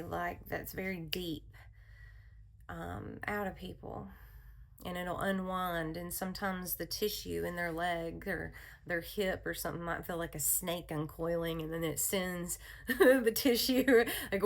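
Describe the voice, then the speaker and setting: A woman hosting a spiritual wellness talk, speaking in a calm, soothing voice with a rambling manner and frequent laughs. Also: mid-range voice, creaky. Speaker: wellness channel host. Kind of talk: casual spiritual talk to viewers.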